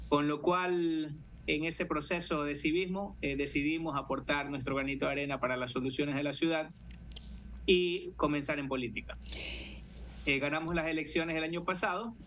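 Higher-pitched Ecuadorian man on noisy video call. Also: fairly even intonation, moderate pace, fairly bright tone. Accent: Ecuadorian, Guayaquil. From Guayaquil, Ecuador. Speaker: interview guest, city councillor. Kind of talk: interview answers. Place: living room, indoors.

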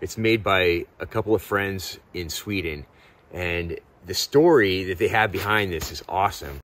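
A man's voice: sits low, normal speed, fairly bright tone. A middle-aged man giving a casual gear review to camera outdoors, laid-back and informal with enthusiastic slang. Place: outdoors in snowy woods.

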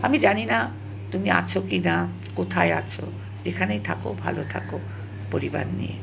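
A woman tells a personal story in an emotional, dramatic monologue, halting and repeating phrases for emphasis and dropping in English words. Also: lowish voice, vocal fry, noisy background. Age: 70s. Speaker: storyteller recalling own past.

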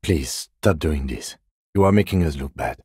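Male game detective: very low, mellow, dry and measured, weary of nonsense, formal and by the book. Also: slightly rough, no echo, heavily acted. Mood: exasperated. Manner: measured, restrained, deadpan, formal. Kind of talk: video game voice acting.

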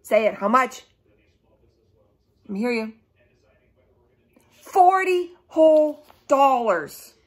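A middle-aged woman reads a storybook to children. Her voice is fairly high and husky, and she takes her time, emphasising words and putting questions to the listeners. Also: sing-song, slow pace, pauses often. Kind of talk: children's read-aloud with asides. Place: small room at home, indoors.

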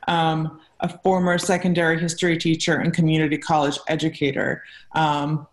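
A young adult woman hosting, introducing a guest speaker over a video call with many fillers. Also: lowish voice. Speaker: lecture series host. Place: room at home, indoors. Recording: echoey room on a video call.

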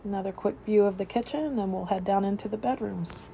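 A woman's voice: medium pitch, fast, gravelly, warm tone, a little hiss or hum, rough recording. A woman real estate agent narrating an informal, unscripted walkthrough of a home, describing each room as she moves through it. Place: indoors, moving through rooms of a house.

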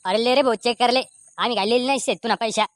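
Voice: mid-range voice, racing, no echo, clean studio sound, close to an everyday voice. A performer voicing a blunt, irritable village man in a comedy cartoon, with a Khandeshi Ahirani accent. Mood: irritated denial.

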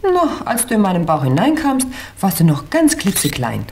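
Patient mum, very melodic intonation, gentle explainer, vintage kids' audio drama. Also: female voice, on the low side, wildly swooping pitch, normal speed. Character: patient, kindly explaining mother of a small girl. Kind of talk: 1970s German children's radio play acting.

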